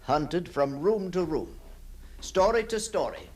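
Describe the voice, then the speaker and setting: A man's voice: fairly high pitch, sing-song, slow pace, exaggerated voice. An actor playing an obsessed, brooding man who stalks apparitions, telling his ghost story in a theatrical, intense monologue. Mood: fervent, exultant. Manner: theatrical, hushed passages swelling into fervent declamation. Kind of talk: dramatic first-person ghost story monologue.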